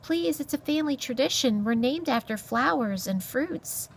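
A woman's voice: expressive, moderate pace, vocal fry, slight background noise, poor audio. A woman voicing a small, timid young female creature in a storybook, plaintive and pleading. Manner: high, plaintive, stammering pleas.

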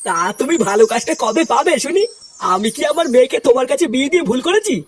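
Casting: indignant older father-in-law played by an actor, fast high voice, overbearing cartoon comedy. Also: lively intonation, husky, distant mic. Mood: reproachful. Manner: reproachful, lecturing.

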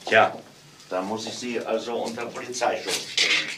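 An actor playing a calm police inspector in a crime radio play, questioning insistently and deliberately. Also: male voice, on the low side, recorded from a distance, very noisy, close to an everyday voice. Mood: probing. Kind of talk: dramatic radio play acting.

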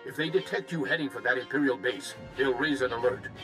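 An actor playing a grave, commanding Jedi commander in a video game, measured and serious. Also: male voice, mid-range voice, natural voice. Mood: urgent. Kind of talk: video game cutscene acting. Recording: music behind the voice.

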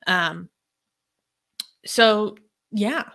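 A woman's voice: medium pitch, extremely slow, creaky, pushed and bright. A young woman talking through a news story casually, hesitant with many ums and likes, on a video call from home. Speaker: co-host.

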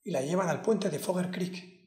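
A man acting an impassioned defense lawyer in a courtroom monologue, solemn and deliberate, speaking in short emphatic phrases. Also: high voice, a little mellow, lightly acted. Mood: grave, anguished. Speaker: acting student. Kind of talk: dramatic courtroom monologue, acted to camera. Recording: echoey room.

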